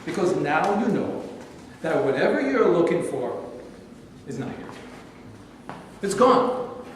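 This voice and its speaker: Man acting a high-voiced blunt detective, echoey hall. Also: very animated, takes it slowly. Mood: blunt, needling. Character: blunt, needling small-town police detective. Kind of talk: staged reading of a stage play. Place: hall at a staged reading. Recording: very echoey hall, distant mic, clear noise.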